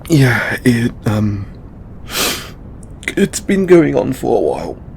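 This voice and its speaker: A man playing a stressed, tender god confiding in a lover in an intimate audio roleplay, speaking haltingly with stammers and sighs. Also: very animated, vocal fry, a little mellow, exaggerated voice. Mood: embarrassed, defensive. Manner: hesitant, halting, stammering and sighing. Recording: background noise with low rumble.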